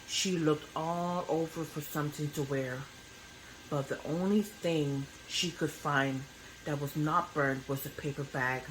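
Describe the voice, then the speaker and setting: A woman reading a children's bedtime story aloud as an expressive narrator. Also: deep voice, a little hiss or hum, poor audio.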